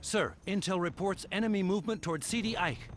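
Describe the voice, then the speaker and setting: An actor playing an alert, dutiful junior soldier making reports in a war video game. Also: male voice, high voice, fairly quick, slight background noise, lightly acted. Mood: brisk, dutiful. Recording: music and battle sounds behind.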